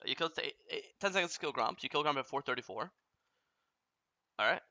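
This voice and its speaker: A man's voice: on the high side, expressive, sharp tone, dry recording, dull audio. A young man coaching a player through a game replay, talking bluntly and often swearing as he explains. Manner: blunt, profane, rapid explaining.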